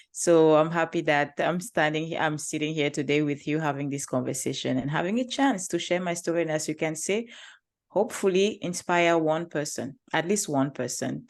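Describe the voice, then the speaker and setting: A woman from Cameroon with a Cameroonian accent, telling her story as a podcast guest in an upbeat way. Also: low-pitched. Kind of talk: conversational interview.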